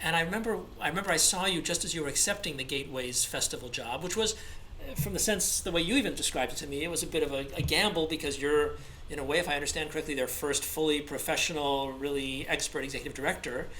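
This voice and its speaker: A man's voice: on the high side, fast talker, pushed and bright, slight background noise, low-quality recording. A man interviewing a guest over a video call, asking long, rambling questions with eager interjections and restarts. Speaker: interviewer, conductor.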